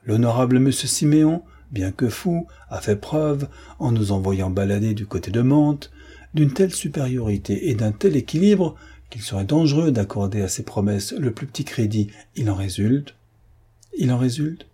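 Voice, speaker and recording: A man voicing a shrewd, ironic gentleman adventurer in a dramatic audiobook, mock-courteous and amused. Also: mid-range voice, lively intonation, normal speed, slightly rough. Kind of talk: dramatic audiobook character reading.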